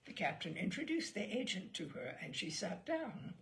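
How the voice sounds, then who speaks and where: A woman narrating a literary short story in an expressive, theatrical and measured way. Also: mid-range voice, gravelly. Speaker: audiobook reader.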